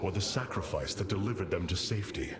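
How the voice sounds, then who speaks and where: An actor playing a noble, resolute alien warrior leader in a video game, speaking formally and with command. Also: male voice, low voice, lively intonation, quick pace, background noise. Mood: earnest, firm. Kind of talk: dramatic video game cinematic acting.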